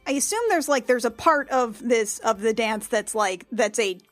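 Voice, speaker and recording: A woman's voice: fairly high pitch, moderate pace. A woman playing a tabletop roleplaying game, chatting casually and improvising, with a lot of "like" thrown in. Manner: sweary, peppered with "like". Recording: music playing behind the voice. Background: background music.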